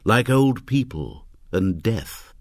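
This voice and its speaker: A man voicing a mild older scientist in a story, raspy and measured. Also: on the low side, very animated, dry recording, clean studio sound, exaggerated voice. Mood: musing. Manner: measured, faintly obscure.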